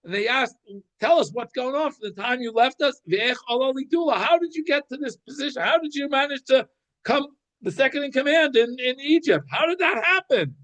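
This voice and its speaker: A man giving an informal religious lesson, explaining scripture like an animated storyteller who thinks aloud and repeats phrases. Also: extremely high pitch, a little fast. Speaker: Torah teacher.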